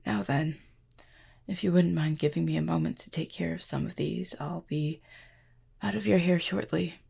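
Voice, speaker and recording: A woman playing an injured, proud and guarded cupid in an intimate audio roleplay, speaking formally and wryly in a husky, pained voice with weary sighs. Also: sits low, mellow, exaggerated voice. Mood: weary, polite. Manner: formal, wry wording with weary sighs and hesitant pauses. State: in pain, strained.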